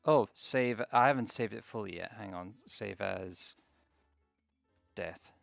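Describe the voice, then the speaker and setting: Gravelly low-voiced male streamer. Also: takes it slowly, muffled recording, soft background music. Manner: relaxed, chatty, fiddly with stream setup. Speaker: streamer host. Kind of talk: casual game stream chat.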